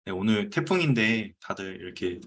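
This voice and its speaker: Male, 30s, lower-pitched, easygoing presenter on a video call with filler-heavy delivery. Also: creaky, husky. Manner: relaxed, conversational, frequent "uh" pauses. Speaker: guest speaker presenting his business story. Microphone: computer mic on a video call.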